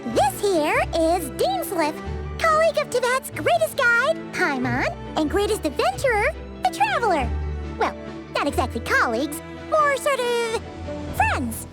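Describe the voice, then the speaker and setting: A tiny game fairy with an extremely high, squeaky child's voice. She is chirpy and boastful, swings animatedly through her lines, and has music playing behind her. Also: wildly swooping pitch, a little slow, exaggerated voice. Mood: proud, chirpy. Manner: speaks of self in third person.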